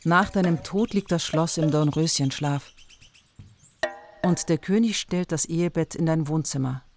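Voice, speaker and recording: A woman's voice: low-pitched, moderate pace, a little mellow. A woman narrator delivering poetic scripted narration for a radio drama, as if addressing someone directly. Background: music.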